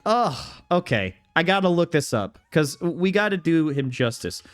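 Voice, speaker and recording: Young male streamer, relaxed game commentary, lively pitch, faint music underneath. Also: unhurried. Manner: chatty, reads chat aloud, laughs easily. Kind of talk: casual gameplay commentary.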